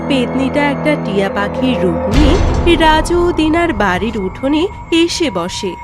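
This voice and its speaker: A woman's voice: high voice, expressive, moderate pace. A woman narrating a cartoon story from a script. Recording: music under the voice.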